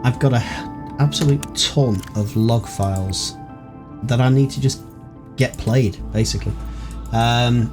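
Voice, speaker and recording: A man hosting a let's play with casual, hesitant, low-key commentary. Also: a little slow. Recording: music under the voice.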